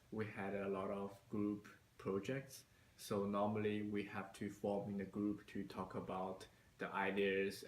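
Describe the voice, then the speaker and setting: A man from China gives interview answers at an unhurried pace, pausing often on fillers. His voice sits low and stays flat. It is recorded in an echoey room with faint noise behind him. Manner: slow, hesitant, many fillers. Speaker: university student.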